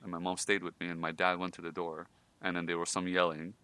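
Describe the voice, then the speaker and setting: Low-voiced man telling stories on a podcast. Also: level tone, fast talker, sharp tone, clean tone. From Iran. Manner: storytelling, many fillers and laughs. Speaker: podcast host. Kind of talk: casual podcast conversation.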